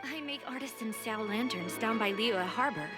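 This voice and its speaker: A woman as a polite, higher-voiced game artisan. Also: normal speed, close to an everyday voice. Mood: composed. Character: polite, businesslike female lantern artisan. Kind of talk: anime game dialogue acting. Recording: game music over the voice.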